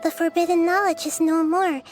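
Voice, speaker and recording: An actress voicing a small, gentle girl goddess who speaks softly, earnestly and reassuringly. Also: extremely high pitch, little pitch movement, normal speed, music in the background.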